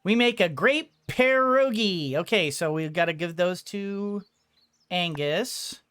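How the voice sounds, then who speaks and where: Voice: mid-range voice, slow, fairly bright tone, clean tone, dry recording. A gamer giving casual let's play commentary, thinking aloud and often repeating words. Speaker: gaming video host.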